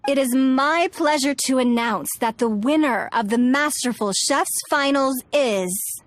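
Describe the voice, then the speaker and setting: High, slow, stately leader. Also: female voice, no echo. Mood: gracious, stately. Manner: stately, gracious. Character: poised, elegant, authoritative female leader. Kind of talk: formal announcement, game voice acting.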